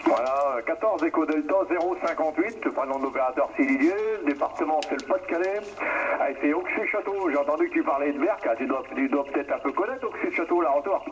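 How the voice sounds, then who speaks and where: A man's voice: fairly high pitch, fast talker. A man from northern France heard over two-way radio, chatting casually as an operator. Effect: two-way radio transmission. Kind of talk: casual radio contact chat. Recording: distant radio transmission, faint static.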